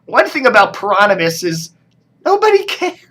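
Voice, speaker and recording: American male vlogger, slightly high voice, loose off-the-cuff media commentary, wry edge. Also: huge pitch swings, normal speed, sharp tone. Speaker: video blogger and media commentator. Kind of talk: casual rambling commentary.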